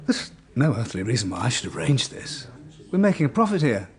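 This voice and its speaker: A man's voice: medium pitch, sing-song, a little fast, close to an everyday voice. An actor playing an anxious, well-to-do man in a radio drama, speaking with formal, clipped politeness. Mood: indignant. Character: anxious, indignant well-to-do male burglary victim.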